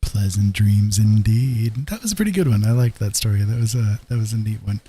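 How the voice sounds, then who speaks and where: A man's voice: on the low side, animated, brisk, warm tone, slight background noise. A man hosting a livestream, chatting casually and musing in an easygoing way. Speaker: livestream host.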